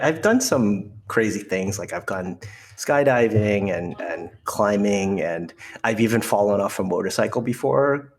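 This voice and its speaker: A man chatting as a podcast guest, playful and self-deprecating. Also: lowish voice. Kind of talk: casual chat.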